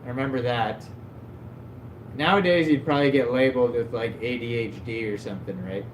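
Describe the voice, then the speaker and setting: A man's voice: mid-range voice, brisk. A man hosting a livestream, telling rambling stories in a loose, self-mocking way with lots of "like". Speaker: livestream host. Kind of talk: casual stream chat, storytelling. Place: at his desk at home. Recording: echoey room, faint background hum.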